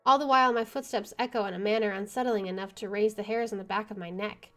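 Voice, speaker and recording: Woman, mid-pitched voice, game streamer, reading visual novel prose aloud, casual asides. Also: steady tone, fast, a bit bright.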